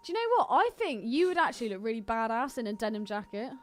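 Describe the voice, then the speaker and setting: Higher-pitched woman, bluntly opinionated. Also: big pitch swings, fast talker, soft background music. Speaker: podcast co-host. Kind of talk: casual film commentary chat.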